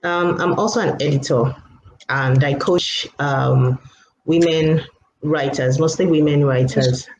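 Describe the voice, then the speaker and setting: A woman's voice: low-pitched, steady tone, unhurried, darkish tone. Hesitant Nigerian-raised woman, echoey room. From Lagos State, Nigeria. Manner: hesitant, many fillers and laughs. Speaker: guest, author and writing coach. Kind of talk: casual interview chat. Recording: echoey room, faint background noise.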